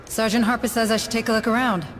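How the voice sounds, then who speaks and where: An actress playing a composed, determined deputy administrator in video game dialogue, speaking in a measured, formal, dry way. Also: female voice, fairly high pitch, fairly even intonation, noisy background, natural voice. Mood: persistent.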